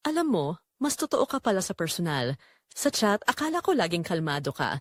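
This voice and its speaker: A woman's voice: on the low side, big pitch swings, a little fast, no room sound, clean studio sound. A woman voicing a confident, romantic man in his twenties, intense and flirtatious.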